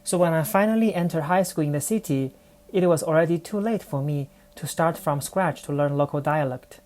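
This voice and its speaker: Chinese woman in her thirties, non-native English, low warm voice, reflective podcast monologue. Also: fairly quick. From China. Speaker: podcast host. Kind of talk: personal storytelling monologue.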